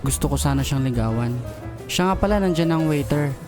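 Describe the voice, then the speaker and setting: An actor playing a gentle male boss who is in love, speaking softly and earnestly in an audio drama. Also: medium pitch, lively intonation, fairly quick, lightly acted. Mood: tender. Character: gentle smitten male boss, secretly playful. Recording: music bed under voice. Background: romantic background music.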